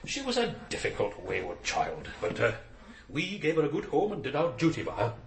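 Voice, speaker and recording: Stuffy defensive dad in a radio drama, bit roomy, swoopy intonation. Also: male voice, mid-range voice, huge pitch swings, fairly quick, creaky, noisy background. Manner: prim, evasive, self-justifying. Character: stiff, defensive middle-class adoptive father. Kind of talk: naturalistic radio drama acting.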